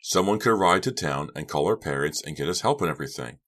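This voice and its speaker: A man voicing an eager, excitable youngster in an audiobook, questioning and keen. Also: a bass voice, quick pace, exaggerated voice. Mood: excited. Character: eager, excitable blonde youngster, story's hero. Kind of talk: audiobook character voice.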